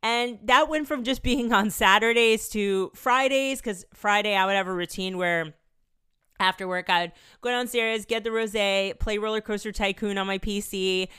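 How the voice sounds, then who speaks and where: A woman's voice: mid-range voice, normal speed, pushed and bright, smooth. A young adult woman hosting a podcast, chatty and upbeat, her voice a little congested from a cold, speaking into a studio microphone. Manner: chatty, upbeat, laughs between phrases. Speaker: podcast host. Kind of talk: casual podcast chat and solo outro.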